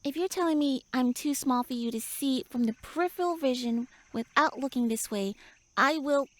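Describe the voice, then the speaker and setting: Woman voicing a high-pitched pouty tiny elf. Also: normal speed, close to an everyday voice. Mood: pouty, flustered. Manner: flustered, indignant, huffy, stammering. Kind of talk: roleplay audio drama addressed to the listener.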